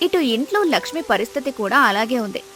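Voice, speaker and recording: High-voiced woman narrating a story. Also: expressive, fast, fairly bright tone. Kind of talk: scripted moral-story narration.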